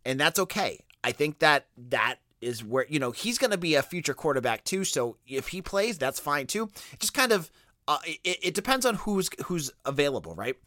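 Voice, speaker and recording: A man with a Boston accent talks sports on his podcast, his pitch swinging up and down as he thinks aloud. His voice is bright and forward. It sits close and dry on the mic, with no room behind it. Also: no room sound. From Boston area. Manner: animated, thinking aloud with restarts. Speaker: podcast host. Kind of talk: casual sports podcast talk.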